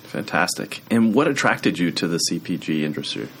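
A man's voice: deep voice, very animated, moderate pace, a bit bright, slightly rough. A man hosting a business podcast, asking questions with brief, upbeat acknowledgments. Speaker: podcast host.

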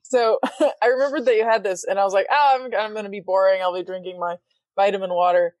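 A woman's voice: medium pitch, steady tone, fast talker, a little mellow, no echo. A woman guesting on a podcast, telling stories in a chatty, laughing way. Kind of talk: casual chat.

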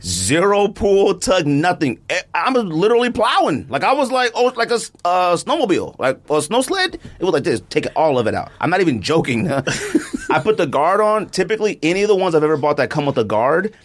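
A man's voice: high-pitched, fairly quick. A middle-aged man hosting a podcast, chatting casually and laughing as he keeps insisting he is not joking. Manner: animated, laughing storyteller, repeats "I'm not even joking". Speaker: podcast host. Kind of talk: casual chat with ad read.

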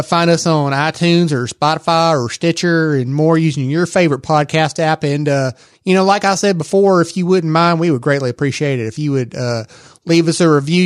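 Rambling Texan man, higher voice. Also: no room sound, studio quality. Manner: rambling, many fillers and restarts. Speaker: podcast co-host. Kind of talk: casual tech podcast chat.

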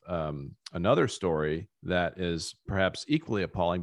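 Deep-voiced man hosting a dry-sounding podcast. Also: no echo. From rural Ohio. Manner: quick interjections, stammered restarts. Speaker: podcast host. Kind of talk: casual interview chat.

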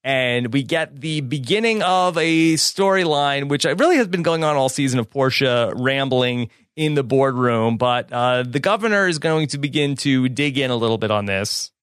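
A man's voice: mid-range voice, lively intonation, normal speed, hardly any pauses, a bit bright, clear, resonant tone, dry recording. Chatty male podcast host, dry sound. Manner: chatty, hands questions to co-hosts by name. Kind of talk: casual recap podcast chat.

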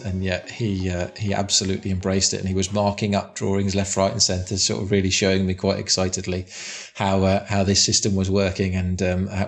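A man's voice: low voice, creaky. A man who manages a construction site, talking casually and plainly in a big voice, often restarting and repeating words. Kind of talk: casual interview answers.

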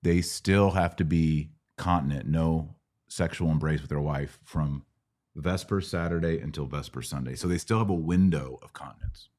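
Low-voiced man teaching on a podcast. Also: normal speed, darkish tone. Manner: didactic, explains step by step with questions and analogies. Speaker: podcast host answering Bible questions. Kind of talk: casual live Q&A teaching.

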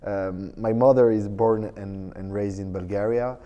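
A man's voice: lowish voice, fairly even intonation, a little slow, dark tone, big-room sound, highs cut off. A man in his thirties from France with a French accent, a startup co-founder talking as a guest in an interview, hesitant and full of fillers. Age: 30s. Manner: hesitant, many fillers and restarts. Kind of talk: casual interview. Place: tech conference.

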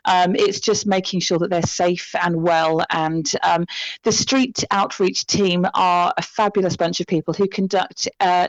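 A British woman speaking as a charity representative in an interview, explaining things in an earnest, compassionate, measured way. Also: fairly low pitch, no echo, lo-fi sound. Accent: British English.

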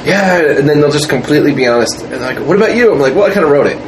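A man's voice: fairly high pitch, animated, rapid-fire. Self-deprecating man in a noisy room. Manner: self-deprecating, acts out exchanges. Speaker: film writer, producer and director. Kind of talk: casual interview banter.

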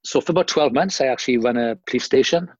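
A middle-aged man with a Welsh accent, a podcast guest telling his career story in a relaxed, conversational way. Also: medium pitch, quick pace, no room sound. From South Wales. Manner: conversational, with fillers and restarts, recounting a career at length. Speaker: guest, former police officer. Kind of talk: interview storytelling. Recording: dry, dull lo-fi audio.

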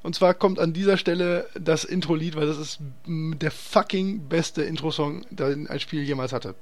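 A man's voice: fairly high pitch, normal speed. A man hosting a casual podcast chat, talking in an enthusiastic, slangy and emphatic way. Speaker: podcast host.

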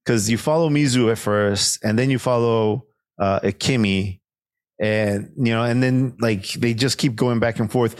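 A man's voice: medium pitch, measured pace, no echo, studio quality. A Mexican-man, co-hosting a casual show review, rambling and laughing often. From Mexican-American, United States. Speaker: podcast co-host.

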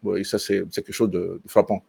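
A man, a bass student, telling his teacher in an interview why he joined the course, with many fillers and restarts. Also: flat delivery, a little fast, creaky, darkish tone, husky, dry recording. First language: French. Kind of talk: testimonial interview.

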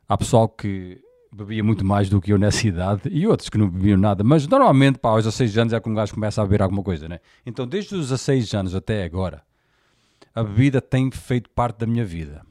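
A man's voice: on the low side, darkish tone, no echo, studio quality. A Portuguese man in his forties with a European Portuguese accent, hosting a candid, colloquial monologue podcast with frequent fillers. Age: 40s. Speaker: podcast host.

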